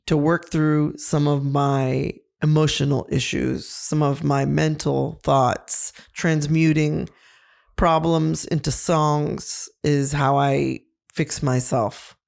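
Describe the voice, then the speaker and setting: A middle-aged woman with a low voice, co-hosting a casual podcast and giving earnest, reflective advice. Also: vocal fry, a little mellow. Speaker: co-host.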